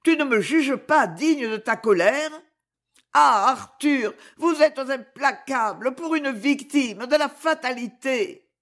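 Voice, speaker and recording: A woman's voice: sits high, animated, a little slow, pitched above the performer's own voice. A woman reading an audiobook, voicing a young noblewoman in passionate despair with an impassioned, pleading, trembling delivery. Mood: desperate.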